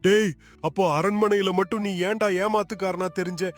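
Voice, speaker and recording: A man's voice: high voice, little pitch movement, moderate pace, faint music behind, close to an everyday voice. A man playing an angry villager in a story, scolding and confrontational. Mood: accusing.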